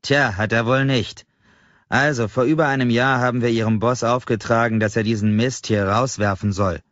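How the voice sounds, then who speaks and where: A man's voice: medium pitch, a little fast, dry recording, dull audio, pitched above the performer's own voice, close to an everyday voice. A man voicing a short, stocky, pale middle-aged stranger who snaps at the listener with indignant contempt. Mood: scornful.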